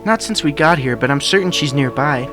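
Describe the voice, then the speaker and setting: A man's voice: on the high side, animated, brisk, pitched above the performer's own voice, close to an everyday voice. A man voicing a confident, reassuring young female pony in a story reading, with an upbeat, assured manner. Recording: music behind the voice.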